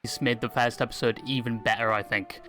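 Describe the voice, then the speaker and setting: A man's voice: medium pitch, little pitch movement, a little fast, pushed and bright. A man narrating a scripted video review, opinionated, with crude joking asides. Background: faint music.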